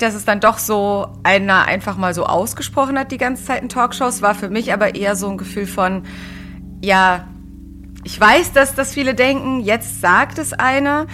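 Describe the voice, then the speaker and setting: A woman in her early thirties hosting a discussion in an articulate, measured way. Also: medium pitch. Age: early 30s. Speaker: podcast host. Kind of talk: scripted narration and conversation about books and society.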